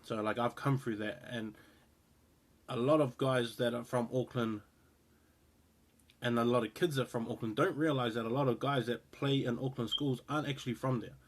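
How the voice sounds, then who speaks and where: A man's voice: medium pitch, steady tone, normal speed. Rambling man with a New Zealand accent. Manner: rambling, frequent fillers and restarts. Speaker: rugby show host. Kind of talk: casual opinion talk to camera.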